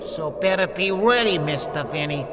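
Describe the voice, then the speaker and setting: An actor playing a deranged, theatrical villain mastermind in a video game. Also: male voice, fairly high pitch, lively intonation, moderate pace, background noise, natural voice. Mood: gloating, manic. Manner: theatrical, taunting, maniacal. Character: gleeful, deranged villain mastermind mobster bent on world domination. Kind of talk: video game cutscene acting. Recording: long reverb, loud music behind. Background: loud game music.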